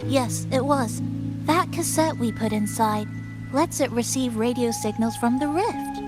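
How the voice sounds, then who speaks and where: A woman's voice: a little high, a little slow, loud music behind the voice, lightly acted. A woman playing a playful, knowing tinkerer and guide in a video game, chirpy and instructive. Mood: thoughtful.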